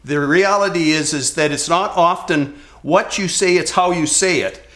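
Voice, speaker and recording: Man, higher-pitched talk show co-host. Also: moderate pace, fairly bright tone. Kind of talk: conversational political commentary.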